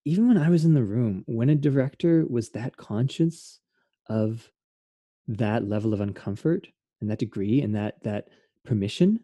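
A man's voice: medium pitch, lively intonation, moderate pace, warm tone, no echo, muffled recording. A man, a theatre artist, hosting an earnest and reflective conversation. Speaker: interview host, theatre artist.

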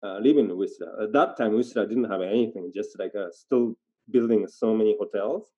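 An older man from Japan with a Japanese accent chats casually in halting, informal non-native English, telling stories as an interview guest. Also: on the low side. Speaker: interview guest, performer in dance theater. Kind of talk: casual conversational storytelling. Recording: dull, lo-fi sound.

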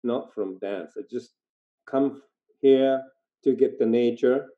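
Older Japanese-accented man, muffled recording. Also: medium pitch. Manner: informal, halting, non-native phrasing. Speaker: interview guest, performer in dance theater. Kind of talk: casual conversational storytelling. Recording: dull, lo-fi sound.

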